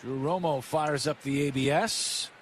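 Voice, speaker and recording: A man's voice: fairly high pitch, unhurried. A man with an American accent calling a baseball game live as a radio play-by-play announcer. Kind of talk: live radio sports commentary. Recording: clear crowd and ballpark noise behind. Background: outdoor ballpark ambience.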